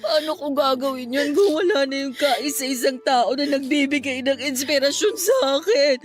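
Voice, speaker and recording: A woman's voice: sits high, normal speed, faint music behind, natural voice. A woman acting a grieving young student in a Filipino radio drama, tearful and plaintive as she mourns her boyfriend. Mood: despairing, bitter.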